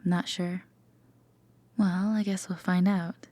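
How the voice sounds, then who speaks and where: A woman playing a gentle, teasing, affectionate girlfriend in an intimate sleep-aid roleplay, with a soft, breathy half-whisper and a tender manner. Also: on the low side, flat delivery, takes it slowly, no room sound. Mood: caring, hesitant. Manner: hesitant, tender, gently playful.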